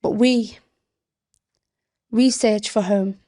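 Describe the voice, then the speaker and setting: A woman poet from Britain giving a spoken-word reading, delivered in deliberate, expressive, measured phrases. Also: medium pitch. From Britain, of Yemeni family.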